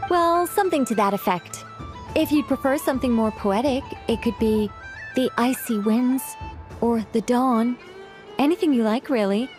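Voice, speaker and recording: Casting: actress as a whimsical, proud female mage, ancient yet needy, high voice, dramatic game delivery, music behind. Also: normal speed, darkish tone, lightly acted. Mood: excited. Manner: dramatic, chatty, self-conscious. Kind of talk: anime game voice acting.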